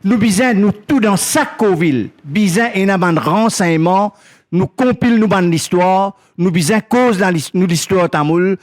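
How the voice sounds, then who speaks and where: A man's voice: high-pitched, normal speed. A man earnestly announcing the launch of a community project, speaking in plain, simple phrases. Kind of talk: spontaneous announcement in creole-tinged French.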